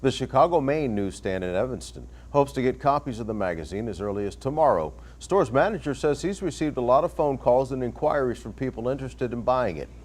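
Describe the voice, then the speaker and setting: A man's voice: mid-range voice, animated, brisk, few pauses, darkish tone. An American man anchoring a newscast, reading stories and trading casual desk banter. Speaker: news anchor.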